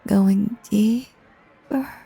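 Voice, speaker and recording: A woman playing a possessive, lovestruck stage magician in a roleplay audio drama, theatrical and doting, often breathy or whispering. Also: mid-range voice, dark tone, soft background music, full character voice. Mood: hypnotic, sultry. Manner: theatrical, doting, drawn-out hypnotic cooing. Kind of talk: roleplay audio drama, intimate second-person monologue.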